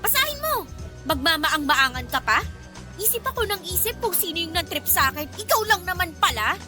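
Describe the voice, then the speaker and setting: A woman with a Filipino accent playing an indignant, sharp-tongued young woman who scolds a man in a radio romance drama. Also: very high-pitched, very animated, fairly quick, soft background music, heavily acted. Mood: angry, demanding. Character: indignant, feisty young woman confronting a male prankster.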